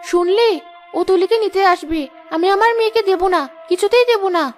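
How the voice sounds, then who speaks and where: A woman's voice: extremely high pitch, fairly quick, faint music behind. A woman voicing an anxious, protective village mother in a cartoon fairy tale, emotional and fretful. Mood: fearful, protective.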